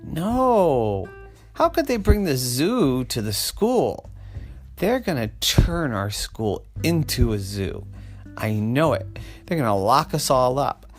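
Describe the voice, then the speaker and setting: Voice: medium pitch, sing-song, slow pace, soft background music. A storyteller voicing an anxious, stubborn little schoolboy in a whiny, protesting way for a children's story. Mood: worried, defiant. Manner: wailing, protesting, emphatic.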